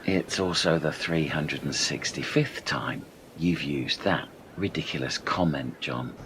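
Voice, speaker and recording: A man's voice: a bass voice, measured pace, a bit bright, a little hiss or hum. A man playing an earnest, pedantic know-it-all castaway in a comedy radio play.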